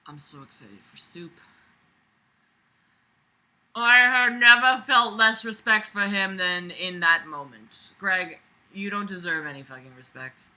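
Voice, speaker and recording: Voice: mid-range voice, unhurried, pushed and bright, rough recording. A reader going through a book aloud with sarcastic running commentary, exasperated, blunt and prone to swearing.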